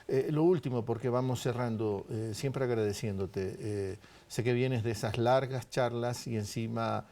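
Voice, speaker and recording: Mellow-voiced male interviewer. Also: medium pitch, normal speed. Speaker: television interview host. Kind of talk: conversational interview hosting.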